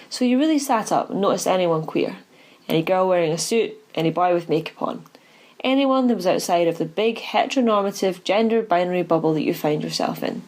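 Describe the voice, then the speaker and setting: A woman's voice: fairly low pitch, darkish tone. A woman hosting a podcast, moving between scripted narration and interview questions.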